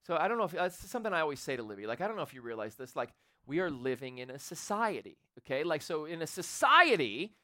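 A man in his forties preaching a sermon in a church, loud and animated, building up intensity. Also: a little high, fast, hardly any pauses, dry recording, clean studio sound. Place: church sanctuary with a congregation. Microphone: handheld or stage microphone.

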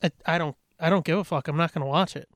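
A man with a high-pitched voice chats about films as a podcast co-host. He is blunt and opinionated, cursing freely as he makes his points. The recording is tight and dry, without room sound. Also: fast, fairly bright tone, no echo. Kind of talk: casual, opinionated film chat.

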